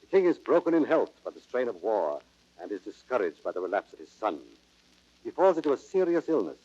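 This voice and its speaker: A man's voice: mid-range voice, big pitch swings, fairly quick, mellow. A man narrating an audio play in a grave, formal, dramatic storytelling style. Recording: dull, band-limited old record sound.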